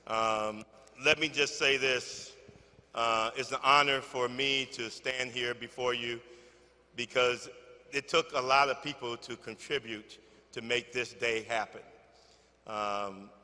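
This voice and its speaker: Loud-voiced man in an echoing gym. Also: medium pitch, fairly even intonation. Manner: off-script, halting with fillers. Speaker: ceremony speaker. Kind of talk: informal ceremony speech. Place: gymnasium.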